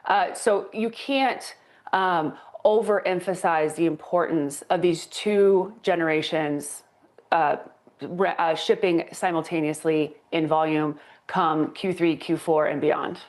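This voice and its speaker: A woman's voice: lowish voice, steady tone, takes it slowly, a little mellow. A woman analyst answering as a guest in a financial TV interview, emphatic and returning to her key points. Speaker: tech stock analyst guest.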